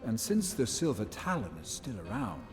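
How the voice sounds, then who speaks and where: Sly wry dealmaker in a game cutscene, pitch moves around a lot, music behind. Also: male voice, wildly swooping pitch, normal speed. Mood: shrewd, explaining. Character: smooth, shrewd male schemer offering bounty deals. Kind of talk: video game cutscene acting.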